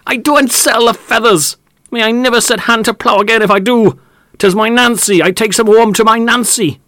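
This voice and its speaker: A man's voice: very high-pitched, steady tone, normal speed, a bit bright, no echo, pitched above the performer's own voice. Man doing a quavering old farmhand. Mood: stubborn. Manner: broad old-fashioned country dialect. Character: old male farmhand, stubborn and pleading.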